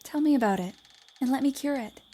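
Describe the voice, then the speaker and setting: A woman's voice: sits high, brisk, warm tone, breathy edge. A woman playing a spirited, restless young woman writer, blunt but caring, in a period audio play. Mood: tender, concerned.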